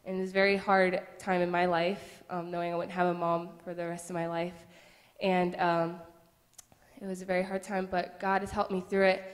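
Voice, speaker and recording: A woman's voice: on the low side, level tone, moderate pace. A woman of about nineteen from Connecticut giving an earnest, plain personal testimony during a church service. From Connecticut, USA. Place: church sanctuary. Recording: long echo of a church hall.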